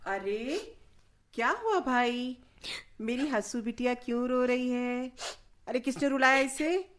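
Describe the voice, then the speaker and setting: A woman's voice: high-pitched, takes it slowly, a little hiss or hum. An actress playing a bossy, doting aunt who champions her little niece in a radio play. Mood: doting concern.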